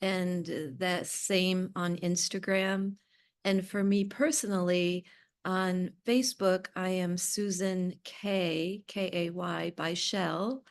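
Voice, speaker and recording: A woman's voice: fairly low pitch, steady tone, slow. A woman talking casually and reflectively as a podcast guest, blunt and emphatic, repeating short phrases. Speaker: podcast guest, business owner. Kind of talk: casual reflective interview talk.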